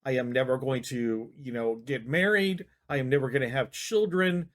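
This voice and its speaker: A man's voice: mid-range voice, normal speed. A man giving casual reaction commentary, rambling and hedging with frequent restarts. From Canada. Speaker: YouTube commentator.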